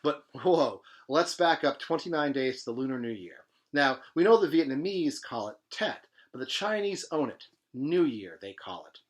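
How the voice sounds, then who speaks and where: A man's voice: a little high, lively intonation, moderate pace, hardly any pauses, close to an everyday voice. Man reading as a hip, emphatic storyteller. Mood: vivid, urgent. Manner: emphatic, slangy, driving. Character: hip, punchy 1968 storyteller posing as news anchor. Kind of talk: dramatic reading of literary fiction.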